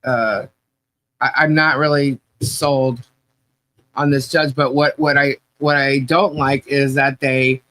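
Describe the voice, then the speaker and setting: A woman's voice: rumbling low, fairly even intonation, slow, fairly bright tone. A woman hosting a casual livestream podcast, sounding nasal today and rambling with restarts and laughs.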